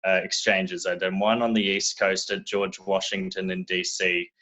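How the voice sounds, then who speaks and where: A young Australian man with an Australian accent, telling his story in a relaxed, casual interview, often restarting his sentences. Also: low voice, normal speed. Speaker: guest.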